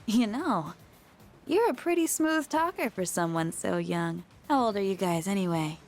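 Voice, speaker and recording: A woman's voice: medium pitch, animated, normal speed, a little mellow, faint music behind, natural voice. An actress voicing an aloof, prickly young working woman in a video game, with a blunt, dismissive manner. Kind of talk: English video game voice acting.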